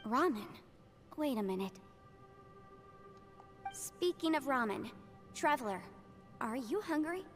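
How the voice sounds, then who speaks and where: Earnest, high-voiced young optimist. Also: female voice, lively intonation, takes it slowly, long pauses, faint music behind. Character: cheerful, earnest, optimistic young woman, studious legal adviser. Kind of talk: video game voice acting.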